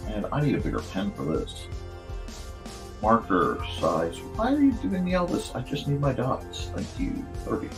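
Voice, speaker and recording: A man with a slightly croaky, strained voice chatting casually and goofily, half to himself, as he hosts a drawing stream. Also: mid-range voice, wildly swooping pitch, moderate pace, music in the background. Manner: goofy, rambling, half talking to himself. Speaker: cartoonist hosting a live art show. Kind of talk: casual chat while drawing.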